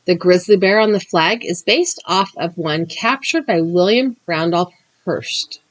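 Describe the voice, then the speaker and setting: A woman with a mid-pitched voice and a lingering scratchiness from a recent cough hosts her own channel. She talks in a chatty, explanatory way, with the occasional um. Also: poor audio. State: slightly scratchy voice after a cough. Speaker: crafting and true crime channel host. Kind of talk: crafting tutorial then read-out history narration.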